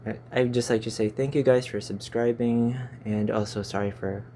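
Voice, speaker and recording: A man's voice: medium pitch, fairly even intonation, normal speed, warm tone, a little hiss or hum. A man in his twenties with a Filipino accent, chatting casually to his channel and stumbling over his words, recorded on a phone in a small stuffy room. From Philippines. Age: 20s. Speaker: YouTuber. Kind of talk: casual rambling vlog chat.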